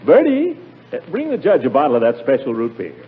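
Blustery nervous uncle, old radio comedy, really animated, echoey and tinny. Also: male voice, mid-range voice, brisk. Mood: sly, friendly. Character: blustering, nervous middle-aged uncle, pompous yet easily rattled. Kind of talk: 1940s radio comedy acting. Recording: tinny, echoey, noisy 1940s radio recording.

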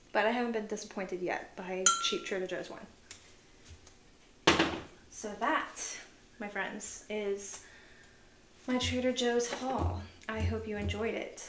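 A woman vlogger chatting casually through a grocery haul, relaxed and full of fillers, sounding tired. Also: fairly high pitch. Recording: echoey room with noise.

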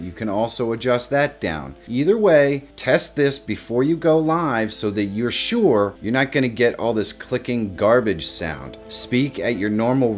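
A middle-aged man hosting a casual step-by-step software tutorial. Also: mid-range voice. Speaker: tutorial host. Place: small home room, indoors.

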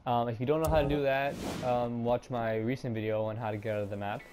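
A young man narrating a gameplay walkthrough casually, hesitating a lot with ums and restarts, his throat a little raspy. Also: mid-range voice, fairly even intonation, noisy background. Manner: halting, many ums and restarts. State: slightly raspy throat, clearing it. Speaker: gaming YouTuber.

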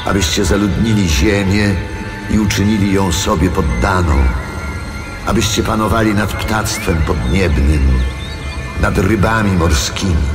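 An actor playing a solemn, commanding God, proclaiming deliberately in an audio Bible drama. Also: male voice, low-pitched. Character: God the Creator, solemn, majestic, commanding male voice. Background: loud music.

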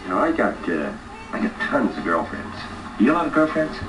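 Fast, low-voiced brash cop. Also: male voice, big pitch swings, distant mic. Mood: cocky, cynical. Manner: blunt, advising. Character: brash, crude, cynical male cop, worldly senior partner. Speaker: actor playing a police patrolman. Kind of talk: film comedy dialogue. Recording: echoey TV playback from afar, music behind.

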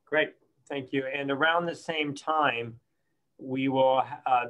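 Brief: male mayor running a council meeting, monotone, halting delivery. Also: mid-range voice, clean tone. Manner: halting, fills pauses with uh and restarts. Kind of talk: meeting chair remarks.

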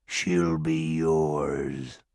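A haughty dwarf lord, as a narrator puts him on, rumbling at the very bottom of his range. He drags every word out slowly and menacingly, savoring his power. The storybook voice is recorded dry and close. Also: level tone, a little mellow, no room sound, heavily acted. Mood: sneering. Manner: slow, gloating and menacing. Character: haughty, scheming dwarf lord, male, adult. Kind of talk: audiobook character voice.

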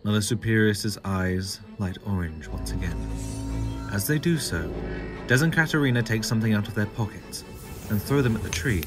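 Guy narrator for an audio drama, lowish voice, clear music going behind him. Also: normal speed.

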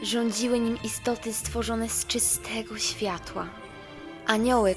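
A woman voicing an idealistic, anxious young princess of hell in an animated fan dub, earnest and expressive. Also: mid-range voice, natural voice. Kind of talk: fan-dubbed animation, storybook narration and dialogue.

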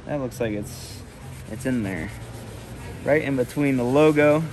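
A man's voice: mid-range voice, measured pace, noisy background. A young man giving a casual hands-on product demonstration, explaining plainly step by step. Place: large carpeted indoor venue. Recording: busy public room with background chatter. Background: crowd murmur.

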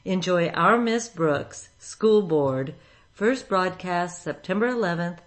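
A female radio host reads a scripted introduction. Her voice sits fairly low and is cleanly recorded. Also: expressive, takes it slowly.

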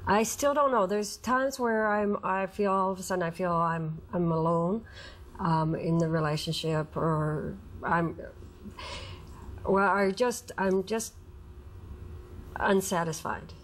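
A middle-aged woman with a slightly low voice speaks at a slow pace. She is candid but halting, feeling for her words in a personal interview, with faint noise behind her. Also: fairly even intonation. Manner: hesitant, halting. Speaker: interview guest, wife. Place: indoor studio living-room set. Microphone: lapel mic.

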